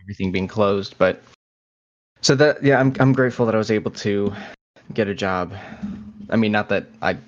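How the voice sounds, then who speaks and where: A man in his twenties co-hosting a casual podcast, talking in a low-key, somewhat hesitant way. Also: moderate pace. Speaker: podcast co-host. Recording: dull, lo-fi audio.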